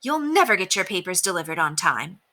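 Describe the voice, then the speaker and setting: A woman's voice: fairly low pitch, sing-song, fairly quick, bright, forceful tone. A woman voicing an exasperated mother in a children's storybook, scolding and weary with her son.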